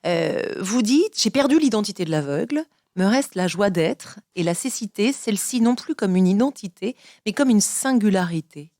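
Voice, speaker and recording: A woman's voice: mid-range voice, animated, normal speed, dark tone, no echo. Woman radio host, close dry sound. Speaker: radio host interviewing a guest. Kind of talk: literary radio interview, reading excerpts and questions.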